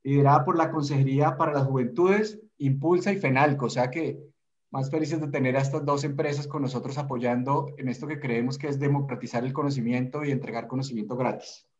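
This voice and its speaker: A man's voice: medium pitch, fairly even intonation, a little fast. A man hosting a live webinar over a video call, speaking with eager enthusiasm and stumbling repetitions. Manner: enthusiastic, admiring, repeats words. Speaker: host. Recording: dull lo-fi video-call audio.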